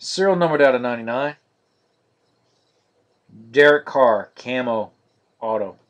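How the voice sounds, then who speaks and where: Male card-break host, mid pitch, flat steady delivery, live-stream feel. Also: unhurried. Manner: monotonous, steady listing of cards.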